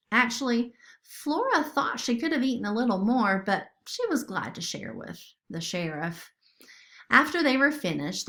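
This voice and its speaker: A woman's voice: medium pitch, gravelly, a bit bright. A woman narrating a children's story expressively, as a storybook reader.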